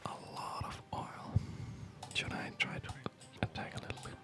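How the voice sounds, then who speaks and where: A person whispering breathy, unhurried ASMR commentary while playing a video game, musing softly aloud. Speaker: video gamer narrating own play. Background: music.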